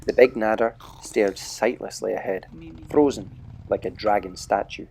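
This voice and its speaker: A man narrating a children's adventure story in a measured, dramatic way, dropping to a hush at tense moments. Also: on the low side, vocal fry, heavy background noise. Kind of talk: audiobook-style storybook narration.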